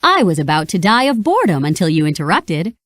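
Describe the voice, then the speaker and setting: A woman's voice: fairly low pitch, big pitch swings, quick pace, fairly bright tone, no room sound, studio quality. A woman voicing a young, commanding and easily bored prince in a storybook read-along. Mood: bored, relieved.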